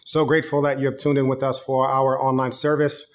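A man's voice: medium pitch, little pitch movement, brisk. A man in his thirties, a pastor giving an online sermon in a casual, conversational way, telling personal stories. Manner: casual, conversational preaching with storytelling.

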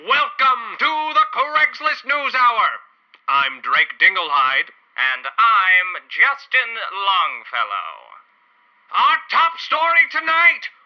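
A man's voice: sits high, very animated, takes it slowly, exaggerated voice. A man playing a peppy, hammy old-time radio newsman in a corny, theatrical 1940s announcer style. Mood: hammy excitement. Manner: theatrical, corny showman delivery. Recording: dull lo-fi radio sound, music behind.

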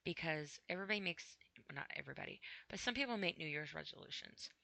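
A tired woman podcasting alone, rambling in a halting, apologetic way with many fillers after crying earlier. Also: sits low, animated, creaky, pushed and bright, dry recording. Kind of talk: casual rambling monologue. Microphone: computer mic with headphones on.

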